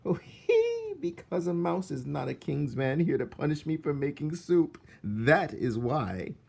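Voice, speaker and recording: A man voicing an excitable, jumpy yet jolly female castle cook from a children's storybook, in an exclamatory way. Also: on the high side, unhurried, gravelly, voice pushed up, heavily acted. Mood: gleeful. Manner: exclamatory, self-muttering, giggling "hoo-hee".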